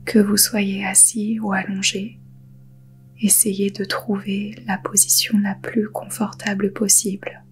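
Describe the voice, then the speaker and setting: A woman guiding a yoga nidra meditation in a soft, breathy half-whisper, calm and soothing, with unhurried pauses. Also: mid-range voice, a little hiss or hum. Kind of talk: guided meditation.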